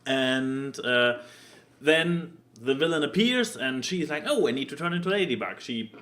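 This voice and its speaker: A man chatting casually as a podcast co-host, giving an exasperated, animated review and acting out quotes as he goes. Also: medium pitch, unhurried.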